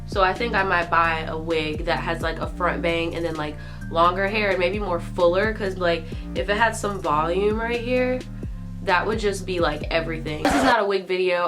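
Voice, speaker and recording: A twenty-one-year-old woman tells a storytime vlog in a chatty, filler-heavy way. Her voice sounds congested from a cold. Music plays clearly behind her. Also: lowish voice. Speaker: YouTuber.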